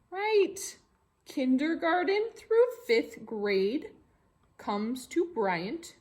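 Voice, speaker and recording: Female elementary teacher, American accent, highish bright voice, slow and repetitive delivery for little kids. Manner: animated, exaggerated surprise and rhetorical questions for children; simple explanations with repetition. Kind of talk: read-along tracing lesson for young children.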